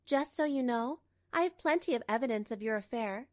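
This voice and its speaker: High-voiced, coolly resolute betrayed wife played by a woman. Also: lively intonation, brisk, darkish tone, no echo, clean studio sound, lightly acted. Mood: coolly sarcastic. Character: betrayed wife, composed, coolly resolute, narrating her story. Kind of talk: scripted manga dub narration and dialogue.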